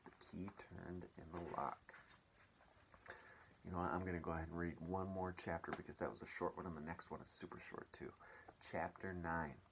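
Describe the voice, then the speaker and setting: A man reading a children's story aloud as an expressive storyteller. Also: sits low, normal speed, background noise.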